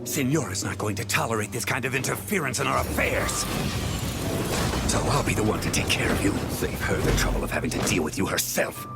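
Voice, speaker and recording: A man's voice: fairly low pitch, expressive, fairly quick, vocal fry, loud music behind the voice. A man voicing a menacing, boastful villain henchman in a video game, with a sneering delivery. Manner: sneering, threatening. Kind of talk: video game character acting.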